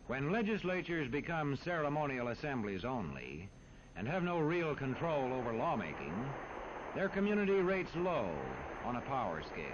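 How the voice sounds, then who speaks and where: A man reading scripted narration for a 1940s educational film in a formal, measured, emphatic way. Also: mid-range voice, very animated. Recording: muffled, noisy 1940s film soundtrack.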